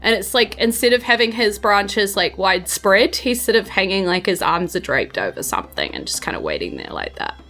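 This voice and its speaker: A woman's voice: mid-range voice, sing-song, a little fast, hardly any pauses, sharp tone. A woman narrating a tabletop fantasy game as game master. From New Zealand. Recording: faint music bed behind speech.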